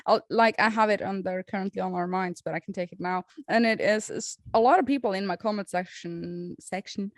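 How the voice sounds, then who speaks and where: A woman's voice: mid-range voice, no room sound. Giggly Norwegian-accented woman, dry sound. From Norway. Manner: giggly, quick bursts of laughter. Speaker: podcast co-host. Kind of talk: casual chat.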